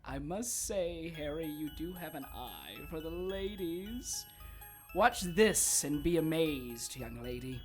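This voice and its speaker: Slow, low-voiced, mocking villain. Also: female voice, animated, a little mellow, soft background music. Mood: gloating, mocking. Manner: theatrical, mocking, drawn-out delivery. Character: sardonic, taunting supernatural villain commanding monsters. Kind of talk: audio drama acting.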